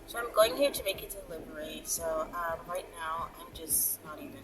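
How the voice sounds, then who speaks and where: A woman's voice: low-pitched, moderate pace, music in the background. A woman hosting a casual vlog, chatting in a rambling, playful and bossy way, as if giving viewers instructions. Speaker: vlog host. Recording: very noisy, distant mic, vehicle ambience.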